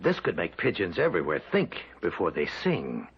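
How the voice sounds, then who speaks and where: An actor playing a rough but deferential mob henchman in an old radio drama, with slangy, halting, uneducated talk. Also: low-pitched, huge pitch swings, highs cut off, full character voice. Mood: conspiratorial, urging. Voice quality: gravelly, husky.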